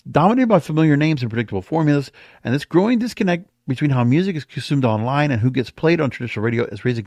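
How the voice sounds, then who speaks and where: Male podcast host, opinionated and rambling, very lively intonation, close dry sound. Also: medium pitch, no echo. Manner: emphatic, rambling, repeats himself. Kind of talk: opinionated solo podcast commentary.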